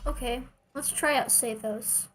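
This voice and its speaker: Higher-pitched woman streaming a game. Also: measured pace, fairly bright tone, a little hiss or hum. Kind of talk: casual gameplay commentary to stream viewers.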